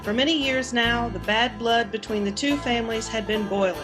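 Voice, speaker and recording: A woman's voice: on the high side, steady tone, moderate pace, music in the background. A woman giving scripted, storytelling narration for a historical radio drama.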